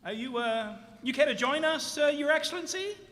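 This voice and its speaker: A man plays an eager, flustered reporter in an old-time radio comedy. The voice is bright and high, full of stammers and exclamations, ringing in a reverberant hall. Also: expressive, moderate pace, heavily acted. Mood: nervously polite. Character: excitable, fumbling male radio reporter, eager to please. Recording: reverberant hall stage recording.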